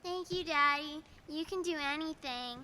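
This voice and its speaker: A woman's voice: high-pitched, normal speed, bright, forceful tone. A woman playing a sweet, pleading little girl in an old-time radio play. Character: sweet, pleading little daughter, sick in bed. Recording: noisy, reverberant stage recording.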